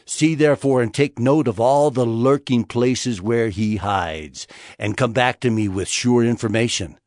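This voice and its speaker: A scheming, vengeful king, played by a man, mid-pitched and imperious. The calculating delivery is recorded very dry, in the manner of an audio scripture drama. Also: unhurried, darkish tone, dry recording, lightly acted.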